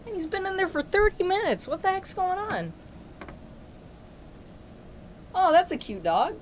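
Impatient, suspicious girlfriend acted by a woman: high-pitched, casual muttering, homemade skit, faint noise behind. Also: sing-song, a little fast.

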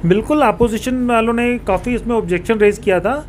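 A man's voice: extremely high pitch, fairly quick. A man speaks as a local politician in an interview. He explains things formally and drops in English terms. Some background noise sits behind him. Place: small indoor office, seated on a sofa. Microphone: handheld reporter mic held toward him. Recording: clear background room noise.

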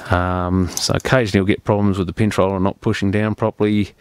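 A man with a fairly low, smooth and clear voice talks through a hands-on repair job. He explains things step by step in plain, practical terms, unscripted, and the sound is close and dry. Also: little pitch movement, fast, darkish tone, no room sound. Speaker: repair technician presenting a tutorial.

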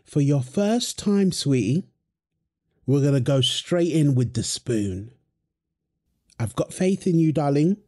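A man's voice: fairly high pitch, animated, takes it slowly, long pauses, dark tone. A man playing a playful doting dad. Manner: playful, affectionate, laughs often. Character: doting young dad feeding his baby daughter. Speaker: voice actor in audio roleplay. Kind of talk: wholesome audio roleplay.

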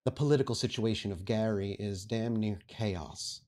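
A man playing a stern, commanding vampire prince in a roleplay game, speaking formally and with measured authority. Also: on the low side, a little mellow, close to an everyday voice. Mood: formal, businesslike. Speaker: game master. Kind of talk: tabletop roleplay acting.

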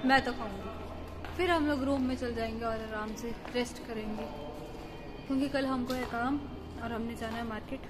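A woman's voice: fairly high pitch, fairly even intonation, moderate pace, over music. A young woman chatting casually with a friend while vlogging on her phone in a mall food court and on a night street, teasing and talking to viewers. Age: young adult. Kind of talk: casual vlog chat with a friend. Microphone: phone held at arm's length. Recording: loud background noise.

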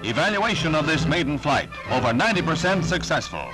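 A man's voice: on the high side, very animated, fairly quick, over loud music, poor audio. An American man narrating a 1950s newsreel in a formal, dramatic, authoritative manner. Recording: vintage 1950s newsreel soundtrack.

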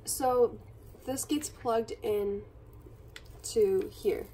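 Raspy sick young woman, chatty. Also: on the high side, very slow, dark tone, far from the mic, a little hiss or hum. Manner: chatty, apologetic, giggly. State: hoarse from being sick. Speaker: vlogger. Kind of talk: casual unboxing chat. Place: carpeted bedroom floor. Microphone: phone camera filming from above.

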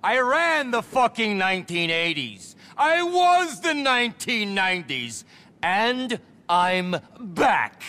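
Flamboyant, high-pitched middle-aged club boss. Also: male voice, very animated, slow, pushed and bright, exaggerated voice. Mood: ranting, grandiose. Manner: flamboyant, theatrical, profane. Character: vain, flamboyant, frazzled middle-aged male nightclub impresario. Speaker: nightclub owner. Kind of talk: video game cutscene acting.

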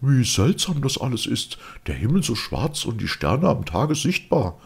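Man voicing a curious earthling, raised pitch. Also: sing-song, measured pace, creaky, husky, heavily acted. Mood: amazed. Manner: questioning, naive. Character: Fridolin, curious, wondering earthling man on a space voyage. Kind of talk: audiobook character dialogue.

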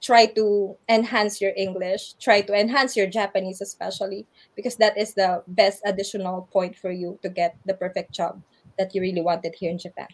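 A woman's voice: mid-range voice, flat delivery, moderate pace. A woman with a Filipino accent, a guest speaker giving earnest advice over a video call, laughing now and then. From Philippines. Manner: earnest, advising, laughs often. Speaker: guest speaker, English teacher in Japan. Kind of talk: online orientation interview answers.

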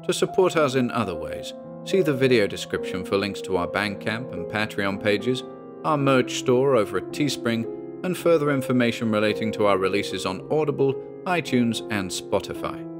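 A man delivering scripted horror drama narration in a grave, measured storytelling manner. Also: mid-range voice, animated, over music. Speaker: narrator and announcer.

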